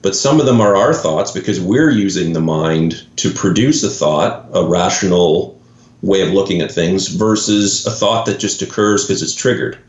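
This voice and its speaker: A man's voice: low-pitched, normal speed. A man from Canada with a Canadian accent, a guest in an interview, who explains ideas thoughtfully with examples and often restarts his phrases. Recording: roomy, dull lo-fi audio.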